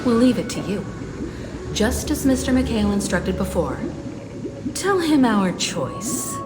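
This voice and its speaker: A woman's voice: medium pitch, big pitch swings, a little slow. A woman acting a composed, assured, analytical mentor in a video game, poised and explanatory. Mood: firm, composed. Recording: echoey sound with music behind.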